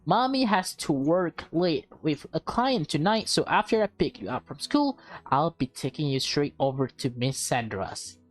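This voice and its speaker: A woman's voice: sits low, big pitch swings, measured pace, faint music behind, full character voice. Woman playing a sweet, doting mother. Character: doting, cheerful young mother talking to her small daughter.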